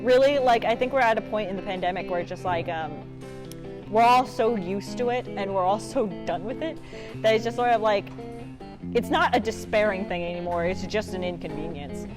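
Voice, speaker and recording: A woman's voice: medium pitch, moderate pace. A young woman talking in a casual, colloquial, reassuring way. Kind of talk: casual chat to camera. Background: guitar music.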